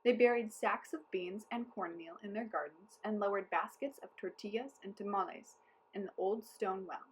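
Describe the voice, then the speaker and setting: A woman reading a children's story aloud as storyteller, in an expressive, deliberate way. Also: medium pitch, a little hiss or hum, low-quality recording.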